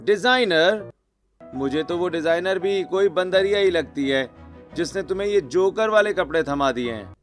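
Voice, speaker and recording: A man's voice: high-pitched, moderate pace, soft background music, lightly acted. A man voicing a teasing, playful young brother-in-law in a family cartoon.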